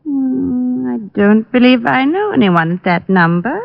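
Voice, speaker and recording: A posh evasive society wife, old radio play, formal, very swoopy creaky voice, dry muffled sound. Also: female voice, on the high side, animated, a little slow, no room sound, faint music behind, exaggerated voice. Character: poised, evasive well-off married society woman. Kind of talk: 1940s radio drama acting.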